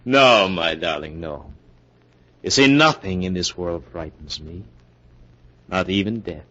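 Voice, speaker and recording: An actor playing the Devil as a suave, mocking, menacing lover in a 1940s radio melodrama. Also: male voice, low voice, a bit bright. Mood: calm menace. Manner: slow, drawn-out, menacing, mocking delivery. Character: the Devil as a suave, sneering, gloating male lover. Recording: dull vintage 1940s radio recording.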